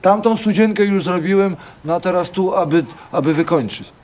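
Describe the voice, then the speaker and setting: Simple, sheepish handyman, male actor for film drama; raised pitch, halting delivery, middle-aged. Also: a little mellow, close to an everyday voice. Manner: halting, repeats words. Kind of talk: naturalistic film drama dialogue.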